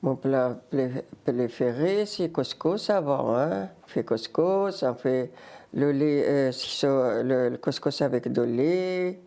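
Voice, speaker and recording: An older Berber-speaking woman from Morocco and Algeria with a North African accent, telling her life story in halting French mixed with Arabic. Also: rumbling low, expressive, mellow. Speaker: interviewee, grandmother. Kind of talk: casual life-story interview.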